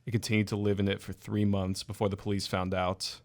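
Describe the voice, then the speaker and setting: A man's voice: lowish voice, little pitch movement, a little fast, natural voice. A man playing a coolly confident, eerie middle-school boy in an amateur radio drama, speaking matter-of-factly. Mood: ominous, matter-of-fact.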